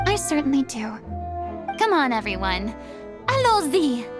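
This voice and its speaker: A woman's voice: high voice, sing-song, a little slow, music in the background. A woman voicing a theatrical, smug young former archon turned performer in an anime game, speaking grandly with French flourishes. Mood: breezy, confident. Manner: grandiose, dramatic, sprinkling French phrases. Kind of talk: anime video game voice acting.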